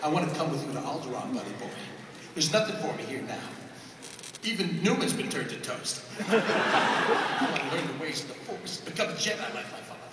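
A man's voice: a little high, expressive, fairly quick, natural voice. A man playing an eager young farm boy hero for laughs in a live radio play. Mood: eager comic. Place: large convention hall, recorded from the audience. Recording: distant echoey hall, loud noise.

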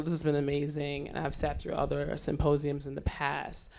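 Chatty woman moderating a panel. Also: low voice. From Trinidad. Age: 40s. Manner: chatty, storytelling, animated laughter. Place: lecture hall on a small stage.

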